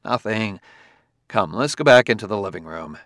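A man voicing a terse, uneasy male detective in an audiobook, curt and low-key, sometimes mumbling. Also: expressive, moderate pace, a bit bright, no room sound, clean studio sound. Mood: uneasy reassurance. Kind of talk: audiobook character voice.

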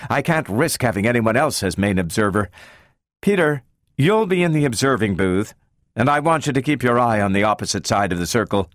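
A man's voice: mid-range voice, animated, fairly quick, no echo, studio quality, lightly acted. A man voicing a commanding, exacting woman scientist in an audiobook, with clipped, precise delivery. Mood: cold, commanding. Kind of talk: dramatic audiobook character reading.

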